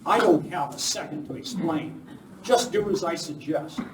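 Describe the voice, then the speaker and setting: A man's voice: fairly high pitch, lively intonation, moderate pace, close to an everyday voice. A man playing a heroic, resolute masked cowboy lawman in a live radio western. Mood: commanding. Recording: distant mic in echoey hall, faint noise.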